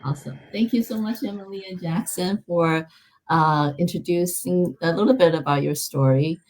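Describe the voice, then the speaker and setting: A woman's voice: fairly low pitch, moderate pace, a little mellow. Middle-aged woman hosting a live video call. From Taiwan. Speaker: host. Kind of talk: hosting a live online interview series.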